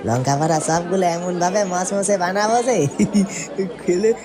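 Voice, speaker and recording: A man voicing an excitable, giggly young village snack seller in a fairytale cartoon, stammering as he talks, with music and crowd bustle behind. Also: high-pitched, a little slow. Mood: excited, jolly. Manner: stammers and chuckles while talking. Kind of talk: Bangla fairytale cartoon voice acting.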